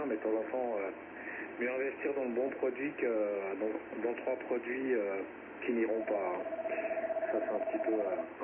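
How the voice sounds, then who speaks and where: A man with a fairly low voice talks casually over amateur radio. The transmission is thin and narrow, with clear noise over it. He speaks haltingly, repeating words and tagging his phrases. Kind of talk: casual radio contact chat. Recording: narrow, very noisy shortwave radio reception.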